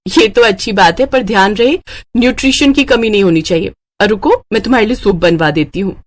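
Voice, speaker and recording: A woman voicing a kind, dutiful young doctor in a cartoon story, speaking earnestly and gently. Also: mid-range voice, brisk, natural voice. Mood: caring, reassuring. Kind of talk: Hindi cartoon moral story acting.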